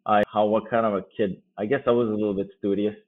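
A man in his forties from Kosovo, whose first language is Albanian, speaking as a guest in a casual podcast interview, easygoing and quick to laugh. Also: lowish voice, flat delivery. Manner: easygoing, laughs often, quick bursts of speech. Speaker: guest, company founder. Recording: phone-like remote line.

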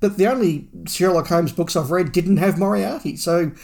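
A man's voice: sits high, moderate pace, vocal fry, a little mellow. Male podcast co-host, conversational and musing, informal news-chat delivery. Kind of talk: casual discussion of Doctor Who fandom news.

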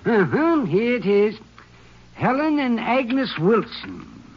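High-voiced gossipy Southern motel keeper. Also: male voice, very animated, slow, full character voice. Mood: helpful, rambling. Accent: rural American Southern. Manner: rambling, folksy, muttering asides. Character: chatty, folksy, gossipy male motel owner. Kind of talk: 1950s radio character acting. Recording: muffled, faintly noisy old radio recording.

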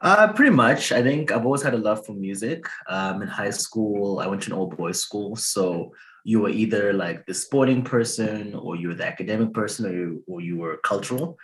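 Young man, deep voice, relaxed, hesitant, interview guest. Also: normal speed. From Zimbabwe. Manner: easygoing, hesitant with many fillers. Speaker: guest, hip hop artist. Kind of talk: casual interview answers.

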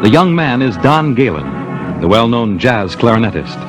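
A man's voice: mid-range voice, sing-song, moderate pace, lightly acted. A man with an American accent narrating a 1950s radio detective mystery in a scripted, dramatic announcer's style. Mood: grave, dramatic. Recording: 1950s radio broadcast with music.

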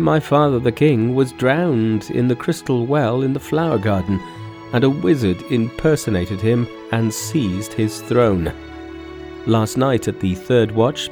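A man's voice: mid-range voice, darkish tone, natural voice. A man voicing an earnest young prince who entreats his mother formally and urgently in a folk tale. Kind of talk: storybook dialogue in a narrated folk tale.

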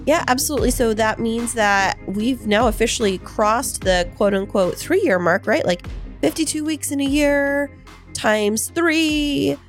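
A woman co-hosting a podcast in casual chat, her voice hoarse and froggy from being sick, telling stories in a teasing, animated way. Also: a little high, unhurried, soft background music. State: hoarse from illness. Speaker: podcast co-host. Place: home studio.

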